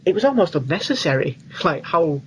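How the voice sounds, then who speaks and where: Higher-voiced British man, slightly noisy recording. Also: sing-song, fairly quick, a bit bright. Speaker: film podcast co-host. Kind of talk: casual film review chat. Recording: clearly noisy background ambience.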